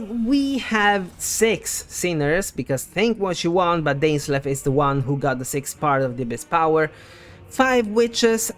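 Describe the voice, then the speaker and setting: A man presenting scripted game theory commentary in a conversational, explanatory way, as the host of a video essay. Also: a little high. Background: background music.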